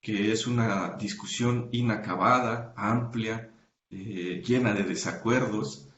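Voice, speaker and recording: A man being interviewed as a researcher, explaining his academic work carefully, pausing often with "eh" and correcting himself mid-sentence. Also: on the low side, fairly even intonation, a bit bright, echoey room, muffled recording, slight background noise. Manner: thoughtful, many hesitations and self-corrections. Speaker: guest researcher.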